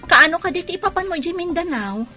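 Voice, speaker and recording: A woman's voice: very high-pitched, lively intonation, quick pace, over music. An actress playing an anxious, suspicious soldier's wife in an Ilocano radio drama, pointed and plaintive as she questions.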